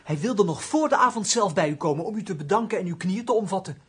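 A male actor playing a simple, eager country lad in a Dutch radio play, with a naive, chattering, husky delivery. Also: high-pitched, animated, fast talker, fairly bright tone, exaggerated voice. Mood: eager, pleased. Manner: naive, chattering, boastful, obedient.

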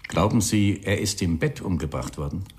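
A man's voice: deep voice, moderate pace, darkish tone, a little hiss or hum, natural voice. A man playing a terse, easily irritated police inspector in a German radio crime drama, asking clipped, businesslike questions.

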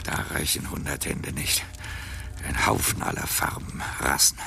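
An actor playing a bitter, proud old libertine in a literary radio drama, declaiming in a gravelly voice that moves between whispery asides and outcries. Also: male voice, rumbling low, completely flat delivery, a little slow, bright, forceful tone, a little hiss or hum, exaggerated voice. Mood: agitated, defiant.

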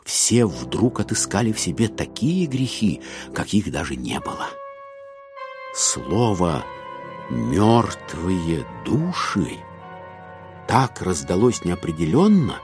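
An actor narrating a satirical classic as a wry, ironic and emphatic storyteller in an audio play. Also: male voice, low voice, big pitch swings, slow pace, music in the background, full character voice.

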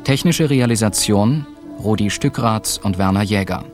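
A man with a husky, breathy voice reading radio drama credits in a measured, sober, formal way. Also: fairly low pitch. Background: prominent string music.

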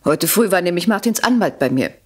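An actress playing a proud, calculating unfaithful wife in a German radio drama, articulate and insistent, with a standard German accent. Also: female voice, lowish voice, expressive, a little fast, husky. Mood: cool, taunting.

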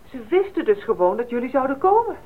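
A woman in a radio play playing an excitable, delighted member of a group of friends, animated and exclaiming. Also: high-pitched, fast talker. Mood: impressed. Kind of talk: Dutch radio play acting. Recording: tinny old radio recording, faint noise.

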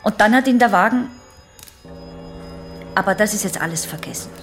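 An actress playing a troubled, guarded young patient in a radio drama, hesitant. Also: female voice, medium pitch, normal speed, over music, natural voice. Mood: hesitant, evasive. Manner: hesitant, broken sentences.

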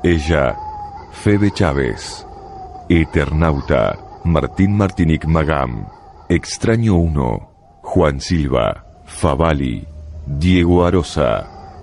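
A man's voice: rumbling low, animated, slow pace, creaky, darkish tone, slight background noise. A man reading scripted radio drama credits as an announcer.

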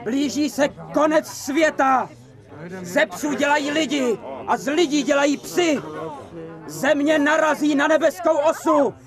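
An actor playing a frantic doomsday preacher ranting in the street in a radio drama. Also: male voice, very high-pitched. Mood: frenzied. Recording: noisy street crowd around.

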